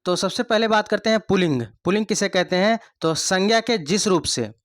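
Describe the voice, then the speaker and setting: A man's voice: high-pitched, a little fast, dry recording, studio quality. A man teaching an online Hindi grammar lesson for exam prep. He repeats each point and keeps throwing rhetorical questions at the students. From India.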